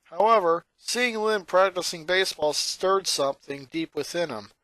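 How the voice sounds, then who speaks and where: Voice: medium pitch, normal speed, a bit bright, rough recording. A narrator reading a fan fiction story aloud as an amateur.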